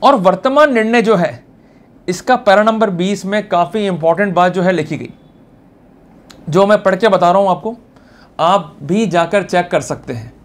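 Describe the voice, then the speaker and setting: A man's voice: high-pitched, moderate pace. A man with an Indian accent giving an informal law lecture in an emphatic, colloquial way, often throwing out rhetorical questions.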